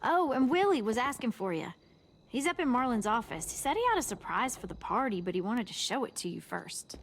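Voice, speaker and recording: A woman's voice: fairly high pitch, big pitch swings, brisk, a little hiss or hum. A woman playing a chirpy, bustling teenage party organizer in a video game, with an upbeat, folksy manner. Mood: busy, cheerful.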